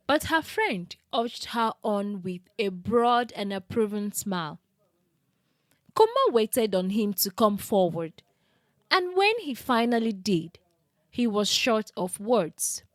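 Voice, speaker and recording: A woman narrating a scripted folktale in an expressive, deliberate storytelling manner. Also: fairly high pitch, dry recording.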